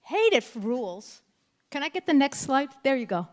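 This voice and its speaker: Brief: woman, 59, native Spanish speaker, California-raised, frank motivational keynote, lively and direct, slightly high voice. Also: moderate pace. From California, United States. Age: 50s. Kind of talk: candid motivational speech. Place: school assembly stage.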